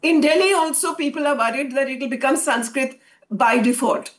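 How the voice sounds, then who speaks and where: A woman's voice: high voice, normal speed. A woman professor on a panel, speaking emphatically and critically while explaining her argument. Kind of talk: panel debate.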